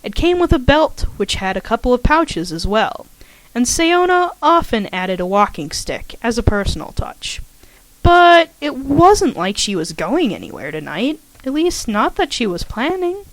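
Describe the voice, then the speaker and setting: A woman's voice: a little high, very animated, measured pace, rough recording. Woman reading her own fanfiction aloud. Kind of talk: podfic story reading.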